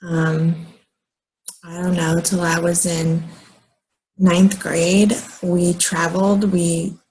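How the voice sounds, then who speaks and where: An American woman in her late thirties talking casually and haltingly in a personal vlog, often pausing mid-sentence and rambling along. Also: lowish voice, little pitch movement, darkish tone, a little hiss or hum, poor audio. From United States, moved often as a military child. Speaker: vlogger.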